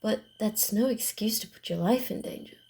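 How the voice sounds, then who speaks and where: A woman voicing a sly, guarded, secretive female vampire in a hushed, theatrical and deliberate ASMR roleplay style. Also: medium pitch, lightly acted. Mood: hesitant, guarded.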